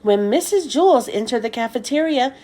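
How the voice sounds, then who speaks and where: A woman reading a children's story aloud as scripted narration, with expressive, deliberate delivery. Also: a little high. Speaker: children's book read-aloud host.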